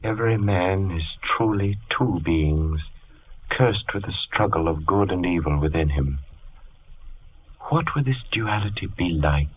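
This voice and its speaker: An actor playing a brooding, absent-minded Victorian gentleman scientist in a theatrical old radio drama style. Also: sits low, expressive, a little slow, husky, highs cut off, heavily acted. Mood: brooding, solemn.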